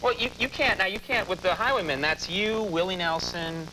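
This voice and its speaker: A man's voice: high-pitched, moderate pace. A man hosting a casual TV interview, chatty and joking and laughing often. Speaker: TV interview host. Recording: noisy TV interview recording.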